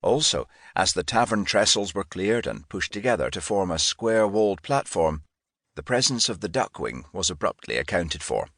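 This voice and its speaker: A man's voice: low voice, animated, normal speed, fairly bright tone, no room sound, studio quality. A man reading historical fiction as a scripted literary audiobook narration.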